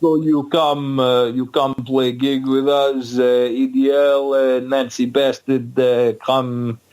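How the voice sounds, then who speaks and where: A man voicing a tough Russian punk with a Russian accent, speaking in blunt, halting broken English. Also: medium pitch, level tone, darkish tone, smooth, pitched above the performer's own voice, lightly acted. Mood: boastful.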